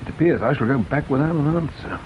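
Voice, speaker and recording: An actor playing a measured, dryly courteous English police inspector in a radio crime drama, questioning in a deliberate, understated manner. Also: male voice, medium pitch, expressive, highs cut off, slight background noise, lightly acted. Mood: dryly reflective.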